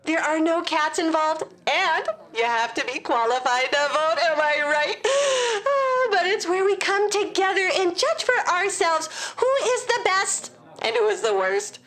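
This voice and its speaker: A very high-pitched, self-important small-town mayor for a comedy audio drama. She rambles on pompously and promotes herself at every turn. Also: expressive, measured pace, fairly bright tone, full character voice. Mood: defensive.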